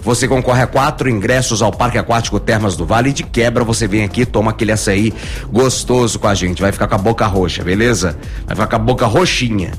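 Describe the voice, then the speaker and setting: A man hosts a morning radio show at a quick clip, upbeat and full of catchphrases. His voice is hoarse from a cold, and a faint music bed plays behind him. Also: on the low side. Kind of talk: lively radio host banter.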